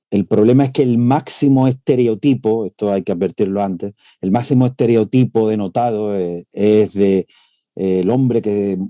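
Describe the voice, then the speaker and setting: A male professor giving an academic lecture over a video call. He speaks in a measured way, with frequent "eh" hesitations. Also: lowish voice, dark tone, no echo. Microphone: computer mic on a video call.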